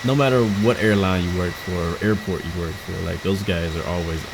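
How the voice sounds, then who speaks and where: A woman's voice: very deep voice, a little fast, noisy background. A woman from Houston chatting casually to viewers during a live flight-sim stream, rambling with frequent stumbles and restarts. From Houston, Texas. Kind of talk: casual live-stream chat while flying.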